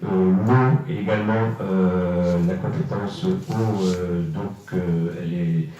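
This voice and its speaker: Low-voiced man in a very echoey room. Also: steady tone, dark tone, faint music behind. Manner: halting, hesitant delivery with frequent long filler pauses. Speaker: local councillor presenting at a council meeting. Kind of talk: explanatory presentation at a municipal meeting. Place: reverberant indoor meeting room. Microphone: distant microphone. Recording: echoey, muffled room, distant mic.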